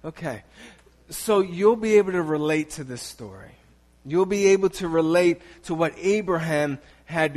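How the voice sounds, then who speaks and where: A man preaching a sermon in a church, talking to his congregation in a loose, conversational way with frequent restarts. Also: sits high. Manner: conversational, halting with restarts, chuckling asides. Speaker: pastor. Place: church sanctuary.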